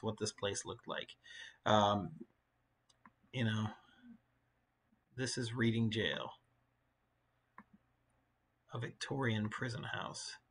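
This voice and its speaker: Bright-voiced man teaching English. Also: medium pitch. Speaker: high school English teacher. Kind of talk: informal recorded poetry lesson, explaining and reading aloud.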